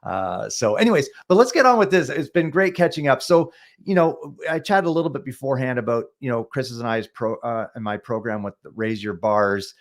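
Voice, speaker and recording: A man's voice: mid-range voice, lively intonation, brisk. A man hosting a podcast interview, enthusiastic and encouraging toward his guest. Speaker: podcast host. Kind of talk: casual interview chat.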